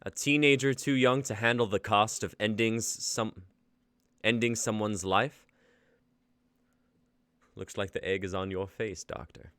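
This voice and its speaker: A man's voice: fairly low pitch, a little slow, pauses often, clear, resonant tone, no echo. A man playing a cold battle-hardened warrior. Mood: cold taunting. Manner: calm, taunting. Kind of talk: live dramatic script reading.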